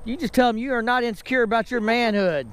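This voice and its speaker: Middle-aged man, folksy motovlog host, high voice, wind and road noise on a helmet camera. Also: quick pace, a bit bright. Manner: folksy, joking, instructive. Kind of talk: casual vlog chat. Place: outdoors, on a motorcycle and in a driveway. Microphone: helmet-mounted action camera.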